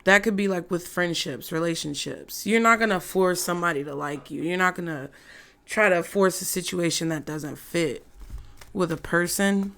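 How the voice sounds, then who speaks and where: A hoarse woman giving a casual, chatty tarot reading to her viewers. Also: on the low side, fairly bright tone. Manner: slow, chatty, laughs often. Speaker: tarot reader.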